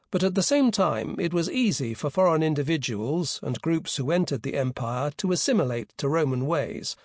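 A man giving a measured, precise scripted narration of a history book as an audiobook narrator, pronouncing foreign names with care. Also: fairly high pitch, darkish tone, no room sound, clean studio sound.

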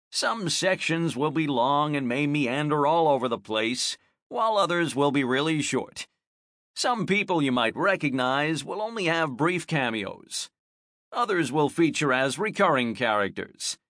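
A man narrates a memoir audiobook with a fairly high voice for a man and a wry, self-mocking humor. He is close on the mic, and the recording is very dry, with no room sound at all. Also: fairly bright tone, dry recording. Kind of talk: first-person memoir read aloud.

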